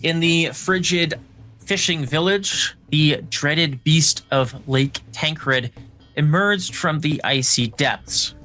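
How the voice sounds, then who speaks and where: A man's voice: a little high, bright, forceful tone, rough recording. A man acting as game master, narrating a dramatic recap and explaining rules casually.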